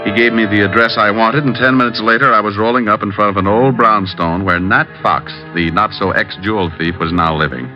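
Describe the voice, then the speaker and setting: A man's voice: lowish voice, brisk, few pauses, natural voice. An actor playing a cool, wisecracking male private detective in an old-time radio drama, with wry, clipped delivery. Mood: brisk narration. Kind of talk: old-time radio detective acting with first-person narration. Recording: dull old radio recording, faint music.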